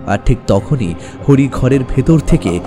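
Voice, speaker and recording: A man narrating a scripted horror story dramatically, with suspenseful pauses. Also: mid-range voice, animated, music in the background.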